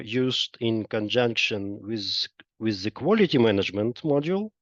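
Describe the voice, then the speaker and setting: A man presenting a software product in a webinar, explaining step by step with frequent pauses and hesitations. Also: medium pitch. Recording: dull lo-fi roomy online webinar audio.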